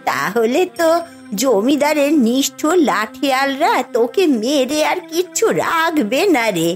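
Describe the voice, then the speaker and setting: A woman playing a poor, loving old village mother in an animated folk tale, plaintive and anxious. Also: high voice, unhurried, soft background music. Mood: worried. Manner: plaintive, pleading.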